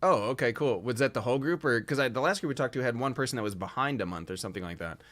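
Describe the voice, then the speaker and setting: A man's voice: medium pitch, expressive, racing, a bit bright. A man hosting a casual video chat, talking in a rambling, hesitant way with lots of fillers. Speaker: podcast host.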